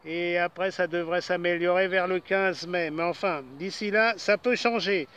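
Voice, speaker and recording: A man chatting as an amateur operator over shortwave radio, pausing and repeating phrases. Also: high-pitched, fairly even intonation, fairly bright tone, muffled recording, a little hiss or hum. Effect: single-sideband shortwave radio. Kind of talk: casual radio sign-off chat.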